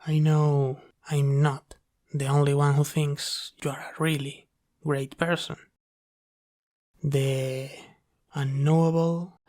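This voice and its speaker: A man playing a gentle, apologetic sleep paralysis demon in a soft, hesitant, reassuring ASMR roleplay. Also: on the high side. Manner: soft-spoken, hesitant, reassuring, pausing often.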